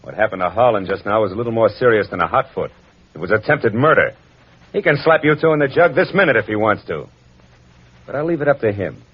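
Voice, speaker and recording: An actor with an American accent playing a tough, wisecracking private detective in a vintage radio drama, talking in sardonic, cynical slang. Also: male voice, fairly low pitch, expressive, fast talker, husky. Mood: commanding, hard. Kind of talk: hardboiled 1940s-50s radio detective acting and narration. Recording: muffled vintage radio recording, music underneath.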